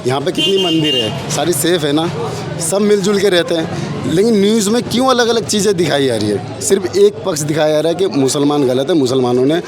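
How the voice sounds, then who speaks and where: Higher-voiced man talking amid crowd noise. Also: expressive, a little fast. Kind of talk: casual street remarks. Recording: loud outdoor crowd noise.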